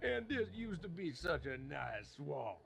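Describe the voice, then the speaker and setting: Gravelly game dragon elder, wildly swooping alarmed delivery, slow, noisy distant audio. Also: male voice, fairly high pitch, very animated, a crowd in the background, full character voice. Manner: dramatic, alarmed warnings.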